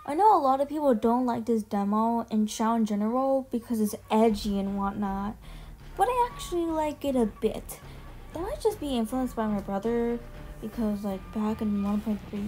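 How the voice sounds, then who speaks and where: A woman with a fairly high voice talks casually, as if running through a ranked list for viewers. She is informal and chatty and swears freely. Music plays faintly behind her. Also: warm tone. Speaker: video host ranking game character demos. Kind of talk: casual commentary over video clips. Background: background music.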